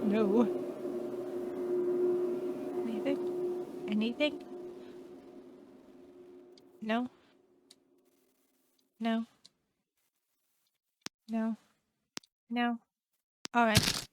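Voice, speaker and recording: A woman's voice: fairly high pitch, level tone, drawn-out words, long pauses, heavy background noise. A woman doing casual game playthrough commentary, chatting to herself and reading on-screen text aloud. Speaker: gamer playing and commenting on a game. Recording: loud game music behind the voice. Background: game soundtrack.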